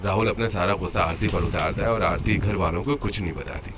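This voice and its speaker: A man's voice: sits low, fast. A man narrating a scripted family story as the storyteller. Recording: clear background noise under voice.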